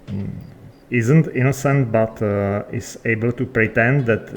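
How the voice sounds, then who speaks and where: A man's voice: mid-range voice, measured pace, faint music behind. A man with a non-native English accent chatting unscripted in character in a video game, hesitant and searching for words. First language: not English. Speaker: game player.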